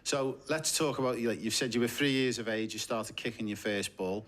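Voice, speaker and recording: A man's voice: mid-range voice, steady tone, brisk, fairly bright tone. A man hosting a casual interview, asking conversational questions and often giving short agreeing replies. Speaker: interviewer, coach.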